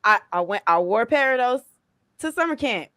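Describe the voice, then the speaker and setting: A woman in her thirties from Chicago, co-hosting a casual podcast chat and telling profane, animated stories. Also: on the high side, brisk, no room sound, studio quality. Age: 30s. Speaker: podcast co-host.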